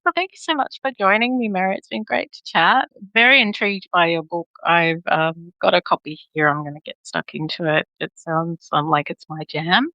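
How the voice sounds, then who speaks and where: A woman's voice: fairly low pitch, normal speed, few pauses, bright, forceful tone, no room sound, muffled recording. A woman with an Australian accent hosting a podcast interview, friendly and laughing often. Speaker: podcast host. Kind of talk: casual interview chat.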